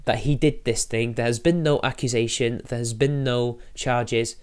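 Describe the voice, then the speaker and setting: Casual male streamer, mid-pitched, a bit sniffly, rambling commentary to chat. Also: steady tone, moderate pace, smooth. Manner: rambling, talks to chat while fiddling with software. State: sniffly from allergies.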